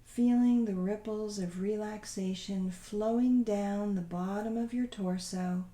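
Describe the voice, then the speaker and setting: A woman leading a guided visualization meditation in a soothing, unhurried, gently instructing way. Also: medium pitch, roomy sound.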